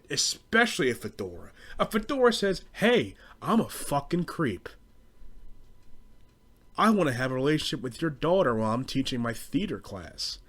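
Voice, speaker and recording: A man's voice: medium pitch, fairly bright tone. A young American man rambling through a casual, profane comedic rant as a podcast host, in slangy speech. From southeastern Pennsylvania, US.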